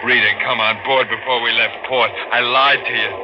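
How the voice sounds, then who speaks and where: An actor playing a guilt-haunted, murderous sailor in an agitated, melodramatic 1940s radio thriller style. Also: male voice, medium pitch, level tone, brisk, lightly acted. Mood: confessing. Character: frantic, guilt-haunted murderous sailor convinced a dead woman returned. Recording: noisy, dull 1940s radio recording.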